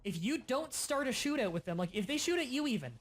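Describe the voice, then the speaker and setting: A young man hosting a casual gaming livestream, explaining game mechanics in quick bursts and sometimes stuttering. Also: very high-pitched, sing-song. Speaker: livestream host. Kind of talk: casual gaming stream chat.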